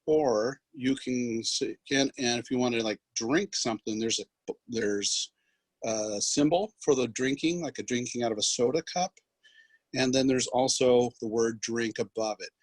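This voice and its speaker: A man giving an instructional software demonstration as a trainer, explaining in a halting way. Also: mid-range voice, no room sound. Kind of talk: instructional screen demonstration, loosely scripted.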